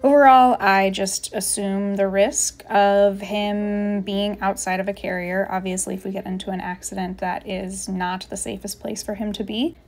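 A woman's voice: medium pitch, steady tone, moderate pace, hardly any pauses, a little mellow. A woman in her thirties, a veterinarian, narrates her travel vlog in an easygoing, chatty way, laughing between phrases. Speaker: veterinarian and channel host.

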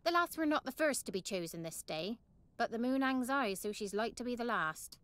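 A woman's voice: fairly high pitch, expressive, normal speed, a bit bright, dry recording. A woman playing a solemn village attendant to a seeress in a fantasy game, speaking formally and sternly.

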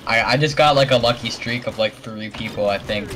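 A man's voice: medium pitch, fairly quick, fairly bright tone. Rambling teen boy gaming on stream. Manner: rambling, self-deprecating, laughs often. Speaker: gaming channel host. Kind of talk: casual gameplay chat.

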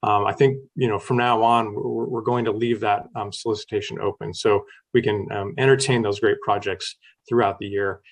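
Staff presenter, man, lowish voice, measured meeting delivery with fillers. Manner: steady, many "um" fillers.

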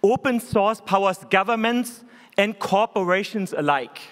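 A man in his forties with a German accent, giving an earnest, measured keynote speech from a conference stage podium microphone. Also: high-pitched, lively intonation, a bit bright. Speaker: keynote speaker. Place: large conference hall stage. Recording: hall reverb.

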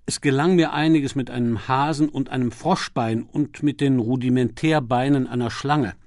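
A man's voice: medium pitch, fairly even intonation, normal speed, darkish tone, dry recording, studio quality, lightly acted. A man voicing a young medical student who is unsure, self-deprecating and hesitant, thinking aloud as in an audiobook. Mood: recalling.

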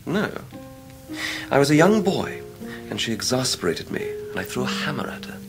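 An actor playing a charming, arrogant young gentleman with an upper-class English accent, teasing, in a radio drama. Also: medium pitch, sing-song, normal speed, over music, poor audio. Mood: teasing, languid. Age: young adult. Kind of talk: 1990s radio drama acting.

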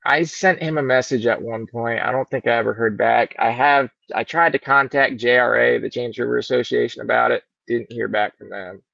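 A middle-aged man with a gravelly edge talks in an easygoing, rambling way about life as a fishing guide. His voice arrives dull and muffled through a video call. The sound is dry, with little room echo. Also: medium pitch, fairly even intonation, moderate pace, few pauses, bright, forceful tone. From Virginia. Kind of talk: casual podcast interview. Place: large indoor boat showroom. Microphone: laptop webcam on a video call.

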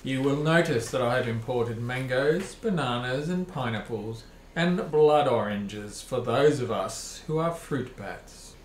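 A man's voice: medium pitch, a little slow, few pauses, lightly acted. A man playing a courteous, stately vampire lord in an amateur radio play. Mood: gracious. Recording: echoey room, faint noise.

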